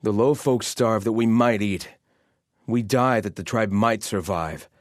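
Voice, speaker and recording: An actor playing a proud, bitter soldier of an exiled sun-worshipping tribe in a video game, speaking in formal, theatrical phrases. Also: male voice, lowish voice, a little slow, dry recording, studio quality, lightly acted. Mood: haughty, disdainful. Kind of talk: scripted game combat barks and guard chatter.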